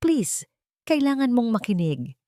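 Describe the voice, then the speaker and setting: A woman voicing a wronged rich man who speaks calmly and gravely, confiding a secret in a dramatic audiobook. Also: a little high, no room sound, clean studio sound, voice pushed up. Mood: pleading. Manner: calm, grave, deliberate.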